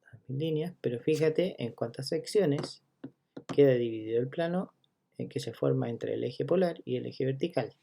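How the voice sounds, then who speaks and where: A man teaching a geometry lesson, counting steps out loud and checking in with listeners as he goes. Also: medium pitch, moderate pace, vocal fry, breathy edge. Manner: walks through each step, checking in with listeners; counts items out loud one by one. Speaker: math teacher.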